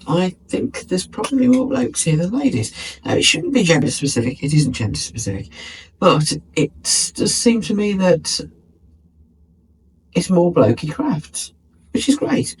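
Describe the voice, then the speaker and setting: A man's voice: high voice, sing-song, normal speed, a little hiss or hum, poor audio. A British man chatting casually in a craft vlog, sounding stuffy and bunged up from a lingering cold, musing and poking fun at himself. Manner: chatty, musing, self-mocking. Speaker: craft vlogger.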